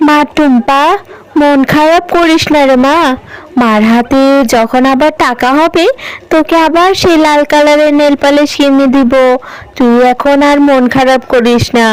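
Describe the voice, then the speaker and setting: A poor cartoon village mother, acted out by a woman with a high voice. She is loving toward her child and speaks in a plaintive, reproachful way. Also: measured pace. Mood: consoling, tender. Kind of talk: animated cartoon dialogue.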